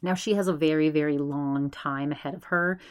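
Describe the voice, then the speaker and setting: A woman's voice: low voice, normal speed, clean tone. Chatty woman in her forties. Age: 40s. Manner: chatty, rambling, animated, addresses subscribers directly. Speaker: podcast host. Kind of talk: solo podcast commentary.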